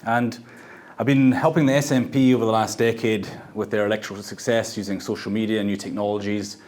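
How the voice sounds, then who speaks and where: A man raised in South Africa presents his product at a tech meetup. His voice sits a little low, and he stops and restarts often, filling the gaps with ums and uhs. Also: level tone, darkish tone. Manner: halting, frequent fillers and restarts. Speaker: startup founder presenting. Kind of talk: meetup pitch talk. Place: event venue.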